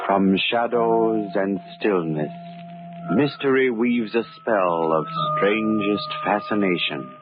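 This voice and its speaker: An actor playing the eerie narrator of a mystery show, intoning slowly and ominously, on a tinny old radio recording. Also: male voice, fairly low pitch, over loud music. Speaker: show host. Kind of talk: 1940s radio acting.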